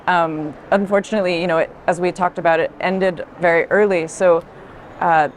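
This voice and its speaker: A woman in an unscripted art discussion, explaining her thoughts in a reflective, hesitant way. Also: on the low side. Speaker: art curator in conversation. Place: indoor art fair hall. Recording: clear background noise of busy venue.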